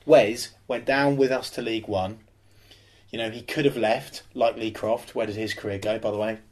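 A man's voice: on the low side, fairly even intonation, moderate pace, darkish tone. A young man co-hosting a football podcast, talking in an emphatic, rambling way with plenty of restarts. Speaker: podcast co-host. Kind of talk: casual football chat.

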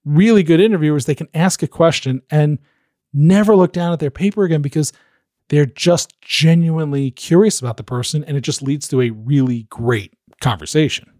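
A man gives animated interviewing advice in a fairly high voice, slipping into little acted-out voices as he explains. He coaches podcast hosts. His voice sounds dry and close, with no room echo. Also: moderate pace. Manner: animated, acts out quoted voices.

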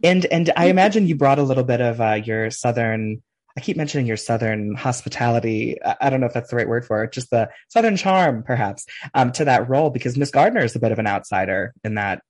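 Male podcast host, mid-pitched, eager and a bit rambling, dry recording. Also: expressive, fast, darkish tone, no echo. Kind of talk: casual interview questions.

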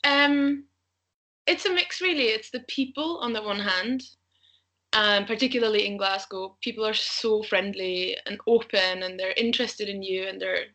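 A woman's voice: mid-range voice, moderate pace, pushed and bright. A young woman from Austria, a travel blogger, chatting casually as a podcast guest, laughing often and thinking aloud. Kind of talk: casual interview chat.

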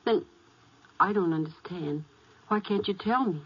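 An actress playing a remorseful, loving daughter, speaking in an emotional, tremulous way in a 1950s radio melodrama. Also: female voice, lowish voice, a little slow, breathy edge. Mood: anguished. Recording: muffled vintage 1950s radio recording.

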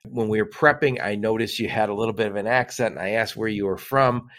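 Low-pitched interview host. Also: male voice, fast, a bit bright. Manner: conversational, asks layered questions with false starts. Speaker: podcast host. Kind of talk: podcast interview.

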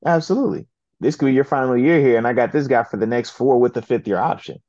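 A man co-hosting a sports talk show, giving his picks in a laid-back, slangy, deliberate way. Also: mid-range voice, a little mellow, dry recording, muffled recording. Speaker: co-host, football analyst. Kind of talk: casual sports chat.

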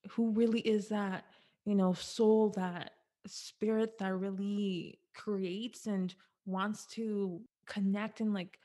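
Woman host, conversational interviewer; warm, emotional, admiring. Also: mid-range voice, little pitch movement, slow pace. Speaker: podcast host.

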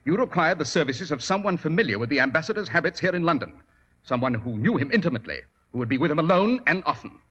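A vintage 1940s radio drama recording of a shrewd, commanding detective. The voice is fairly high and swings widely in pitch, with a forceful edge. The delivery is precise, formal and theatrical. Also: male voice, very animated, quick pace, slightly rough, highs cut off, full character voice. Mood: coolly accusing.

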